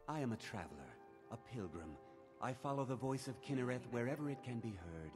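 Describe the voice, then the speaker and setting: Fantasy game pilgrim played by a man: devout, earnest, formal, mid-pitched, clear music underneath. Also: animated, brisk, lightly acted. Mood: humble, hopeful. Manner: earnest, reverent, formal wording. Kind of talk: fantasy video game dialogue acting.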